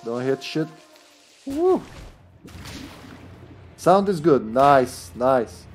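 A man's voice: mid-range voice, huge pitch swings, very slow, soft background music. Chatty man streaming a game on headset. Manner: chatty, laughs often, trails off. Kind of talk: casual gameplay commentary. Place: indoor room at home.

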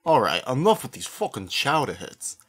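A man voicing an irritated, foul-mouthed tough investigator with a husky voice, profane and impatient, in cartoon parody narration. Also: medium pitch, wildly swooping pitch, normal speed, very noisy. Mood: irritated, swaggering.

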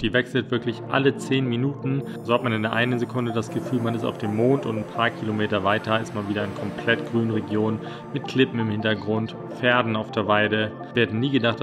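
A young man chatting excitedly and casually as a travel vlogger on a road trip, with plenty of slang. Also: on the low side. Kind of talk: casual travel vlog chat. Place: outdoors by a car on a road trip.

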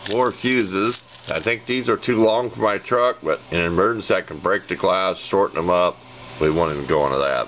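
A man's voice: low-pitched, noisy background. A man casually showing and explaining odd car parts and trinkets in a rambling, plain-spoken way, recorded at a table. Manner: rambling, plain-spoken, mechanic's know-how. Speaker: channel host showing ashtray contents. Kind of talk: casual show-and-tell vlog. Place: indoors at a table.

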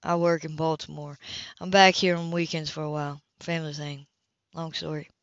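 A suave, confident man flirts in a low-key, lowered voice put on by a woman reading a romance novel aloud. The sound is dry and slightly dull, like a home recording. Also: level tone, moderate pace, no room sound. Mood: smooth, casual. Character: smooth flirtatious adult man, confident ad executive.

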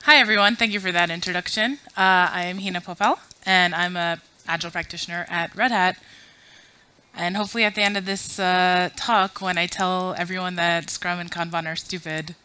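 A woman's voice: fairly low pitch, fairly even intonation, fairly quick, bright, forceful tone, smooth. A woman in her thirties, originally from Afghanistan, giving a chatty, self-deprecating conference talk to a room full of people and running audience polls. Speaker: conference speaker. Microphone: podium mic.

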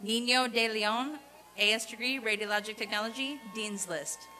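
A woman reading out a formal roll of graduates at a commencement, in a deliberate, repetitive announcing cadence. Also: on the high side, sharp tone, slight background noise. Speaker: commencement name reader. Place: outdoor college commencement ceremony.

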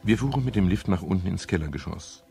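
An actor playing a wry, weary private detective in a 1970s German radio crime drama, with a gravelly voice. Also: male voice, deep voice, expressive, quick pace, dark tone, faint music behind. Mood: brooding narration.

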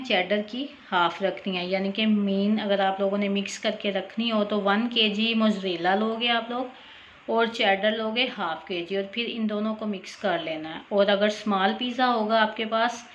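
A woman narrating a cooking tutorial as voice-over, talking casually and directly to her viewers, often pausing with a quick "okay". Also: medium pitch, lo-fi sound, a little hiss or hum. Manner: chatty, repeats "theek hai", addresses viewers directly. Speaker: cooking channel host.

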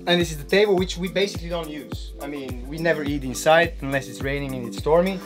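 A man's voice: on the high side, fast, over music. A young man showing friends around a sailboat in a plain, matter-of-fact way. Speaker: trip organizer, skipper. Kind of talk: casual guided tour.

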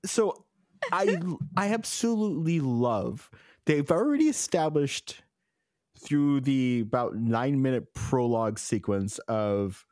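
A man co-hosting a podcast, making deadpan jokes and listing things off one by one. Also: mid-range voice, slow, dry recording, clean studio sound. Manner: deadpan joking, itemizing. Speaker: podcast co-host. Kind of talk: casual chat.

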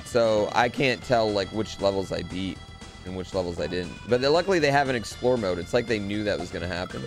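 A man's voice: on the low side, fairly quick. A man, around his thirties, streaming a video game with casual, slangy, sweary commentary to his chat, speaking into a close desk microphone at home. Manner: profane, excitable, slangy. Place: home streaming room, indoors. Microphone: close desk microphone on an arm. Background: video game music.

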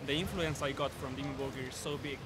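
A man's voice: medium pitch, fairly even intonation, fast. A young man in his twenties with a Chilean accent, a native Spanish speaker talking earnestly as a fan. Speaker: metal fan interviewed on the street. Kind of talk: earnest vox pop interview. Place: outdoor city plaza. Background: loud music.

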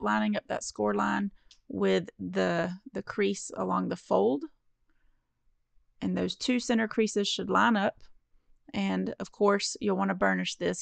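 A woman talking through a paper-craft tutorial, friendly and encouraging as she explains each fold. Her voice sits mid-pitch with a strong gravelly fry running through it. The sound is dry and close, with no room around it. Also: no echo. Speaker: card-making crafter. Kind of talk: step-by-step craft tutorial voice-over.

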